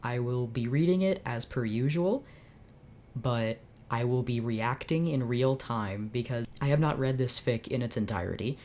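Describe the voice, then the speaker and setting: Very deep-voiced sardonic woman. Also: normal speed, a little mellow, a little hiss or hum. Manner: sardonic, dramatic. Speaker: channel host reading fanfiction. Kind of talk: unscripted reading with live reactions.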